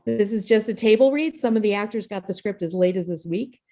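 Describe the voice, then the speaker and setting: A woman's voice: medium pitch, a little fast, mellow. Female host for video-call show introduction; informal, jokey, self-effacing delivery. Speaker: playwright and host of an online table read.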